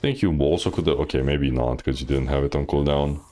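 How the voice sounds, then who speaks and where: A man's voice: a bass voice, a little fast, dark tone. A man coaching on a live stream, reviewing gameplay bluntly with lots of fillers. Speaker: game coach.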